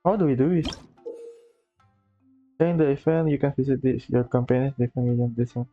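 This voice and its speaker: A man's voice: mid-range voice, a little fast. A man giving casual gameplay commentary, thinking aloud half to himself as he plays. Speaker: gameplay streamer. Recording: dull, lo-fi recording. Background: game music.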